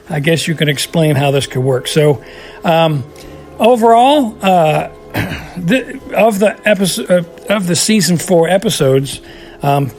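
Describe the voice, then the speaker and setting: A man giving his casual recorded thoughts on an episode for a podcast, rambling with many fillers and restarts. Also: a little high. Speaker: podcast contributor.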